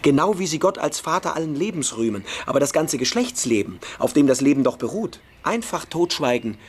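A man's voice: fairly high pitch, big pitch swings, a little fast, breathy edge. A man playing a precocious schoolboy freethinker in a German radio play, emphatic, scornful and persuasive. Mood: ironic, insistent. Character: precocious, provocative schoolboy freethinker lecturing his younger friend.

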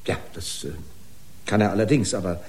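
Actor as low-voiced, hesitant company lawyer. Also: male voice, a little mellow, natural voice. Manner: formal, halting, hesitant. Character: objecting company lawyer, formal but awkwardly hesitant. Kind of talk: German radio play acting.

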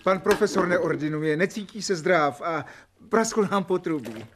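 An earnest assistant doctor in a radio play, harried but proper. His voice is high for a man and urgent, with slight noise in the recording. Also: expressive. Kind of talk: theatrical radio drama acting.

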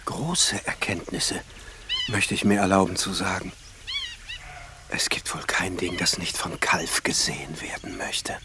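An actor playing a reverent, awestruck glassmaker in a German radio play, speaking in a halting, theatrical way that often drops towards a whisper. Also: male voice, low-pitched, fairly even intonation. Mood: hushed reverence. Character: awestruck male glassmaker rapturously contemplating a painting. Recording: loud music behind the voice.

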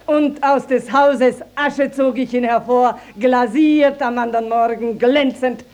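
A woman's voice: high voice, fairly even intonation, unhurried, darkish tone. An actress playing a proud, indignant middle-aged village mother in a radio play, telling her grievance emphatically and dramatically. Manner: emphatic, declamatory, dramatic storytelling. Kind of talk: classic stage-style radio drama acting.

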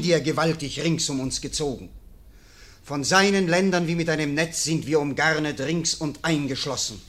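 Young nobleman, ambitious, earnest, declamatory, fairly high voice. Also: a bit bright. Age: young adult. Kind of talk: classic radio play acting.

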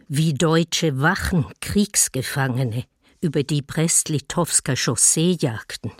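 Grave woman war witness for radio docudrama, slow and restrained, dry studio. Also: low voice, no room sound. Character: grave female eyewitness recalling wartime horrors. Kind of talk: radio docudrama reading of memoirs.

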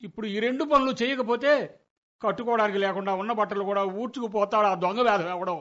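A man's voice: high-pitched, fast, fairly bright tone. An actor playing a blustering, hot-tempered middle-aged father in a radio comedy, exasperated and stubbornly emphatic. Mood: angry, stubborn. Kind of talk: Telugu radio comedy play acting. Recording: dull lo-fi radio recording.

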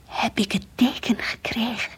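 Earnest, devout schoolgirl for radio drama: highish, very breathy, intense, confiding diary narration. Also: fairly even intonation, normal speed, whispered. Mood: hushed, awed. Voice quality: breathy, husky.

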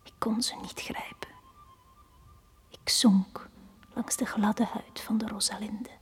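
An actress playing a drowned young girl in a radio play, telling her story in a hushed, breathy whisper. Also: medium pitch, steady tone, takes it slowly, faint music behind, close to an everyday voice. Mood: tense, haunted. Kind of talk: dramatic radio play monologue.

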